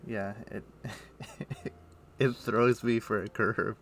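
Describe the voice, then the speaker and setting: A man's voice: on the low side, fairly even intonation, extremely slow. A man streaming a game, chatting casually and thinking aloud in an easygoing, musing way. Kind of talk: casual chat while playing an online game.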